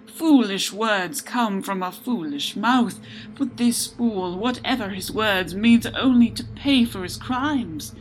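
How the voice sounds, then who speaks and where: A woman's voice: medium pitch, slow pace, pitched above the performer's own voice, lightly acted, low-quality recording. A woman voicing a remorseful immortal male lord who pleads through sobs, with music underneath. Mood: pleading. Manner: sobbing, pleading.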